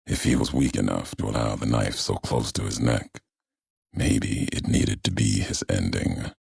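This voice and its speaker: Brooding male game wanderer, grim and cryptic, very heavy vocal fry, unhurried clipped delivery. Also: very deep voice, fairly even intonation, a little mellow, very echoey, heavily acted. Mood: cold, judging. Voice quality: gravelly. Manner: cryptic, deliberate, clipped fragmentary phrases. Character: grim, brooding wasteland wanderer, solemn and judging. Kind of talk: video game character dialogue.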